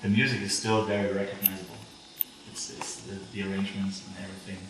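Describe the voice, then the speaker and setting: Male interviewer, German accent, lowish voice, hesitant phrasing. Heavily roomy sound with faint noise. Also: flat delivery, fast. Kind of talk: casual interview questions. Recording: very echoey room, distant mic, faint noise.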